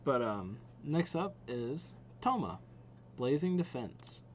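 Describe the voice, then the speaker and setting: A man's voice: mid-range voice, sing-song, takes it slowly, a little hiss or hum. A young adult man chatting casually as a reaction video host, slangy and thinking aloud. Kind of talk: casual chat, reacting to game trailers.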